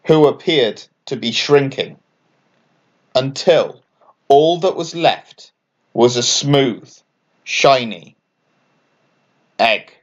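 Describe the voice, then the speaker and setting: Expressive male storyteller, lively intonation. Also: a little high, lo-fi sound. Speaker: author and story reader. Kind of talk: scripted children's bedtime story narration.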